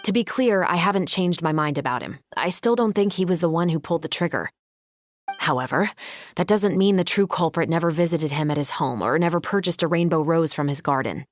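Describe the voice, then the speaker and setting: A woman playing a resolute, composed musketeer captain in a video game, speaking in a measured, authoritative manner. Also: low-pitched, lively intonation, slight background noise. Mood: composed, firm.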